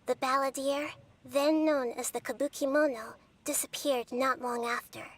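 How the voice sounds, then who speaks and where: A wise young goddess speaks in a soft, measured game voice, performed by a woman. Her pitch sits high for a woman, and she keeps an even, gentle calm as she guides and explains. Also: slight background noise, lightly acted. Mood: explaining. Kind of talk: game character dialogue.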